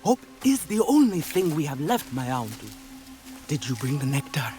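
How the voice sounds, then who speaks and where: A man playing a wry, affectionate Na'vi companion, expressive and coaxing, in a dramatic video game. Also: on the high side, moderate pace, music in the background. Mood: worried.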